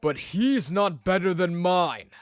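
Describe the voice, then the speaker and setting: A man voices a confrontational young servant in a radio play. He speaks on a higher note, slowly and pointedly, in a dry recording. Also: animated, no echo. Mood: challenging. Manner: challenging, confrontational. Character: provoking young male servant.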